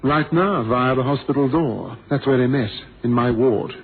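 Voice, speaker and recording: An actor playing a blind former pilot, theatrical and bitterly witty, with a wry, wordy, ironic manner, in a radio drama. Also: male voice, mid-range voice, moderate pace, husky. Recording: noisy, thin phone-like old radio recording.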